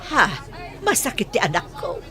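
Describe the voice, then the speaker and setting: A woman's voice: on the high side, big pitch swings, normal speed, crowd noise. A woman playing a worried, plaintive elderly village mother in a radio drama.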